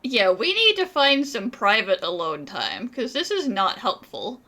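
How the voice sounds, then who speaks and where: A woman's voice: a little high, wildly swooping pitch, measured pace, bright, forceful tone. A woman giving casual, wry commentary while playing a video game. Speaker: gaming channel player.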